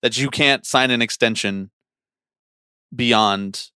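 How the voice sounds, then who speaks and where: A man's voice: medium pitch, slow pace, sharp tone, smooth, no echo, clean studio sound. A young man co-hosting a casual sports podcast, giving his analysis in an opinionated, emphatic way. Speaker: podcast co-host.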